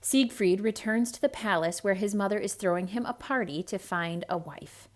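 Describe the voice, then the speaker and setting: A woman with a mid-pitched voice performs a children's read-aloud. Her delivery is theatrical and expressive, shaped for young listeners, with hushed asides woven into the storytelling. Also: moderate pace.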